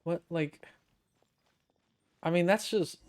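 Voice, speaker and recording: A man's voice: fairly high pitch, takes it slowly. A man hosting a casual video game playthrough, tossing out dry, sardonic quips. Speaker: gaming video host.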